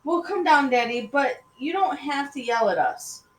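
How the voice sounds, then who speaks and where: A woman voicing an excitable, whiny young boy from a children's storybook. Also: high-pitched, unhurried, pitched above the performer's own voice. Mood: tearful protest. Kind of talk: children's storybook character reading. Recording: echoey room with faint noise.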